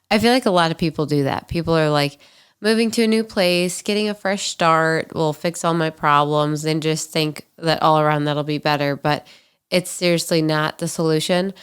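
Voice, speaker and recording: Female podcast host, 20s, nasal and congested, low pitch, casual candid storyteller. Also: normal speed, clean tone. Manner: candid, chatty, frequent ums and likes. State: nasal, stuffy from allergies.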